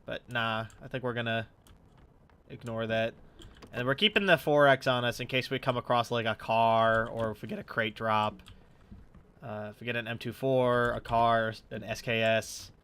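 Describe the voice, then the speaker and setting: A man's voice: medium pitch, a bit bright, a little hiss or hum. A man with a slightly stuffy nose doing casual, sweary let's play commentary, thinking aloud as he goes. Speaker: gaming YouTuber. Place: his room at home.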